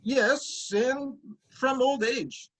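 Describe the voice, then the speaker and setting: An actor playing a humble, cheery old messenger from Corinth in a formal, expressive reading of a Greek tragedy. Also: male voice, very high-pitched, extremely slow, heavily acted. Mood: solemn.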